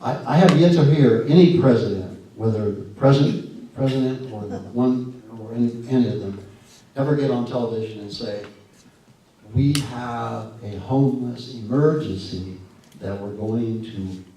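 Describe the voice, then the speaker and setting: A man's voice: mid-range voice, warm tone. Man, scratchy voice, folksy and earnest, lots of restarts, heard from a distance, very echoey church hall. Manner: folksy, earnest, halting with many fillers and restarts. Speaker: guest speaker. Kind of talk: church talk with audience questions. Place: church sanctuary.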